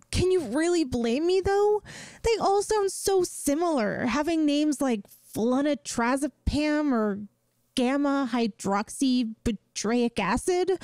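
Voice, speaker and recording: A woman's voice: sits high, darkish tone, no echo, exaggerated voice. A woman playing a ditzy, sheepish young friend in an audio roleplay, talking to the listener in an earnest, self-deprecating, hesitant way. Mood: flustered, self-excusing. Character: ditzy, sheepish young female friend failing yandere school. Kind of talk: scripted audio roleplay, spoken directly to the listener.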